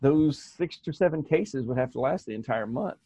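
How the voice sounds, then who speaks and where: An American man co-hosting a podcast in casual chat, halting with many restarts and fillers. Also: mid-range voice, expressive, dark tone, no echo. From United States. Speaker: podcast co-host.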